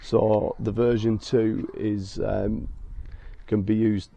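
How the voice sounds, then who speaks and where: A man with a croaky, hoarse voice from being ill, hosting a car detailing vlog and explaining each step in a chatty way with many fillers. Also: fairly low pitch, little pitch movement, takes it slowly, dark tone. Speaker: car detailing channel host. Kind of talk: casual talk to camera with fillers. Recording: dull lo-fi sound.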